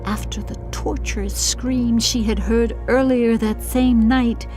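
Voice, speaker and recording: A woman narrating a scripted storybook audiobook in a deliberate, dramatic storytelling manner. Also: fairly high pitch, steady tone, darkish tone, soft background music.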